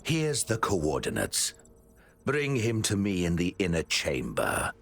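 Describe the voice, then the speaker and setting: Calculating, swooping villain mastermind. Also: male voice, deep voice, wildly swooping pitch, takes it slowly, creaky, soft background music, exaggerated voice. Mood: cold, assured. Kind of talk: video game voice acting.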